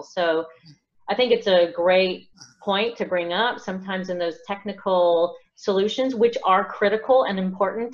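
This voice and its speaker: A woman facilitating a group discussion on a conference call. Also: mid-range voice. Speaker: meeting facilitator. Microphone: remote conference call. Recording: slightly dull conference call audio.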